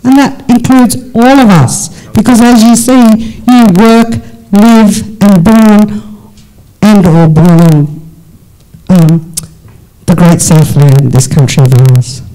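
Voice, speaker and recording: An Australian speaker hosting a live panel discussion in a relaxed, joking manner. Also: medium pitch, rough recording. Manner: relaxed, joking, drawn-out phrasing. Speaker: panel host.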